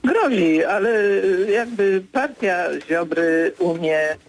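An older man, a political commentator, answering as a guest in a radio studio interview, hesitant with many fillers, then firm and brisk. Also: high voice, expressive.

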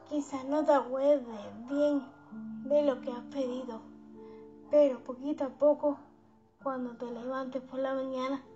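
A woman hosting a guided meditation for sleep, speaking in a soothing, unhurried, affectionate manner. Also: high voice, mellow. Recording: dull lo-fi sound under background music.

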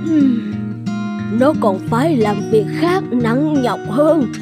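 Slow, humble old village woman. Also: sits high, lively intonation. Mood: plaintive. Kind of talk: Vietnamese animated folk tale dialogue. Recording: plucked-string music behind studio voice.

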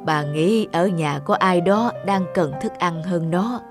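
A woman playing a kindly, compassionate elderly grandmother in an animated tale, gentle and caring. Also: on the low side, slow pace, music in the background. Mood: gentle, explaining. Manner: gentle, caring, musing aloud. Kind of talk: animated moral tale dubbing.

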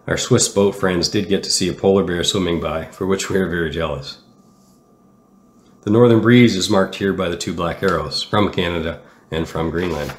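A man reading a measured, explanatory voice-over for a sailing travel vlog. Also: low voice. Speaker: sailor narrating travel vlog. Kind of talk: scripted voice-over narration. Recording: roomy echo, music underneath. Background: background music.

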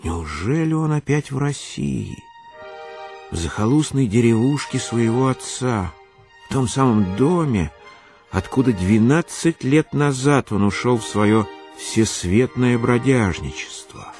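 An actor narrating a Russian literary radio play as an expressive, measured male storyteller. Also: medium pitch, faint music behind. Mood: engaged storytelling.

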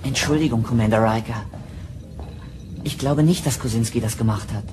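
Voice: mid-range voice, moderate pace, noisy background, natural voice. A performer playing an earnest, insistent teenage boy aboard a starship in a radio play.

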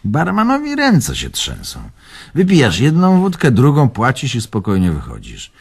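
An actor playing an animated, teasing old man who reminisces theatrically in a radio drama. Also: medium pitch, normal speed, slightly rough, full character voice. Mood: admiring, dramatic. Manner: theatrical, expansive storytelling.

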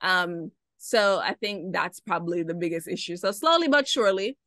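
Young woman, podcast host, bright tone, dry close sound. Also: lowish voice, expressive, moderate pace, no echo. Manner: reflective, conversational, uses "right?" often. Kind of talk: video call interview. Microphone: video call webcam.

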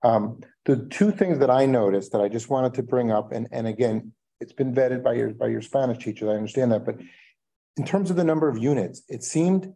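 A man's voice: mid-range voice, a little fast, vocal fry, dark tone. A man on a school board asking questions at a meeting, hesitant with many fillers and restarts.

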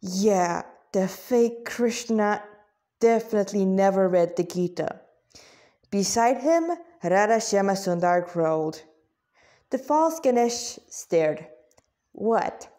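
A woman narrating a fantasy story informally, halting at times to repeat or correct her words and add asides. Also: medium pitch, mellow.

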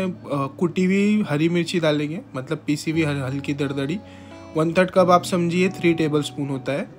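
A young man with a fairly high voice narrates a home recipe as a voice-over. He talks in a chatty, friendly way and explains each step. Soft guitar music plays quietly behind him. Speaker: cooking channel host.

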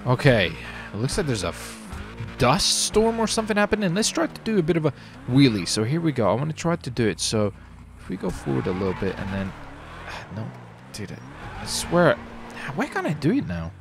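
A man's voice: medium pitch, sing-song, moderate pace, creaky, over music. A man commentating casually on his gameplay as a streamer, with slangy, sweary talk. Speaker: streamer hosting a gaming video.

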